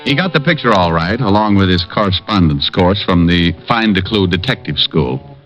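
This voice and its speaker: An actor playing a dry, wisecracking private detective who narrates an old radio drama. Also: male voice, low-pitched, expressive, moderate pace, a bit bright. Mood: narrating. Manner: dry wisecracking, laid-back. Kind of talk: 1940s radio acting. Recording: dull lo-fi old radio recording with music.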